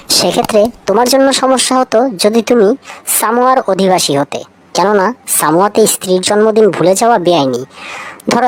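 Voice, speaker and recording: Woman narrating light trivia in scripted style. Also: lowish voice. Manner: addresses the listener directly with rhetorical questions. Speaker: co-narrator of a feature video.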